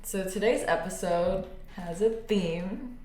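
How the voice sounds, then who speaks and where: A woman's voice: medium pitch, slow pace, darkish tone. A young woman co-hosting a casual podcast, teasing and giggly, with lots of "like.". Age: young adult. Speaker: podcast co-host. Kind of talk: casual chat. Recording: echoey room with faint hiss.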